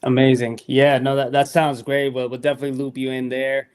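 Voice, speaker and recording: Smooth-voiced man pitching his app. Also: flat delivery, fairly quick. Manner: upbeat, pitching features, with many fillers. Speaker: guest promoting a sports app. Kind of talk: casual promotional chat.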